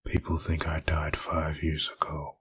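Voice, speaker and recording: A man's voice: a bass voice, completely flat delivery, unhurried, fairly bright tone, a little hiss or hum. A man voicing a haunted, brooding male antihero in a murmured inner monologue for a fan comic dub.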